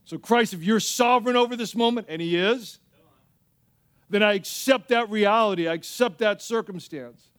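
A man preaching as a pastor in an animated, conversational way, repeating phrases for emphasis and telling humorous personal stories. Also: high voice, normal speed, clear, resonant tone. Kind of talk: sermon with personal anecdotes and acted-out dialogue.

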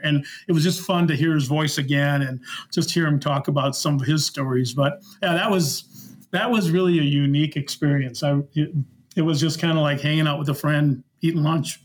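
A middle-aged man with an American Midwestern accent, casually telling anecdotes in a rambling, good-humoured way as a podcast guest. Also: a little high. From Minnesota, United States. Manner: rambling, good-humoured, acts out reported dialogue. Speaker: podcast guest, former concert security worker.

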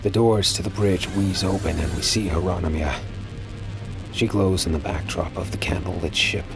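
An actor playing a weary, uneasy space pirate with a brooding manner, as in a first-person audio drama. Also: male voice, sits low, fairly even intonation, normal speed. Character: weary, uneasy male space pirate narrating his ordeal. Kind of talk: audio drama acting and narration. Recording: music behind the voice.

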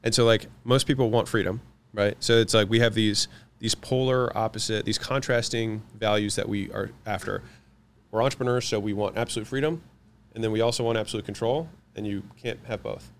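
A man whose first language is French, answering interview questions about running companies, confident and quick, listing his points one after another. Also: lowish voice, steady tone, slight background noise. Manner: fast, confident, lists points in quick runs. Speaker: guest, business owner.

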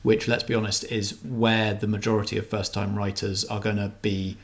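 A man's voice: lowish voice, completely flat delivery, moderate pace. A man co-hosting a podcast, discussing things casually in a considered, articulate way. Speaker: podcast co-host.

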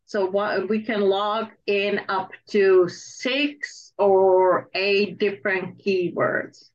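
A woman's voice: medium pitch, fairly even intonation, drawn-out words. A woman hosting an interview in a conversational style, asking questions. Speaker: interviewer, host. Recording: dull, slightly muffled sound.

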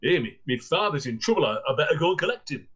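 A man's voice: a little high, very animated, fast, fairly bright tone, slightly rough, full character voice. A man, playing a blunt, stern young man come to fetch his father. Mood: hurried. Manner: blunt, commanding.

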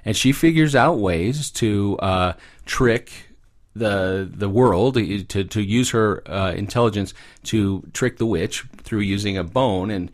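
Low-pitched man explaining stories conversationally. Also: slow. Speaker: guest expert, psychology professor. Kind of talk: conversational explanation of fairy tales.

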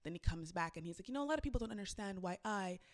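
A young woman who DJs, chatting casually and telling a story with lively shifts, acting out what people said. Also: mid-range voice, racing. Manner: animated storytelling, acts out quotes. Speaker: club DJ. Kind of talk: casual conversation.

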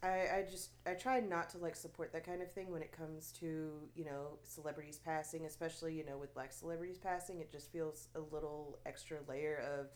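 A woman's voice: low voice, fairly even intonation, brisk, few pauses. A woman co-hosting a casual podcast, sounding stuffy from allergies, chatty with frequent fillers and little laughs. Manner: chatty, many fillers and restarts, laughs often. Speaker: podcast co-host.

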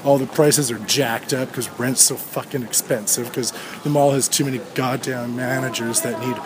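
A man's voice: medium pitch, steady tone, normal speed. Profane ranting man out on the street. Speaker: podcast host. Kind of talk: solo rambling podcast rant. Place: outdoors on a street. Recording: street noise with passing traffic.

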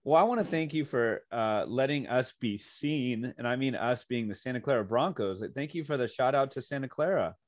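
A chatty man hosting a podcast, winding through long questions and dropping quick "mm"s and "right"s as his guest talks. The recording is dry and close, with no room sound. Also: medium pitch, lively intonation, no echo. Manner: chatty, rambling questions, frequent backchannel. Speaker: podcast host. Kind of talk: podcast interview.

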